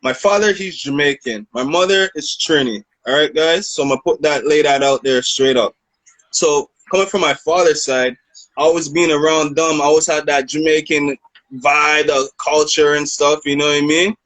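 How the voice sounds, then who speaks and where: A man in his thirties from Canada, a rapper, talks in a relaxed, rambling way and keeps checking in with "you know what I mean". His voice sits a bit high and sounds dry and close. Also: moderate pace, no echo, poor audio. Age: 30s. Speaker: rapper guest. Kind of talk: casual interview storytelling.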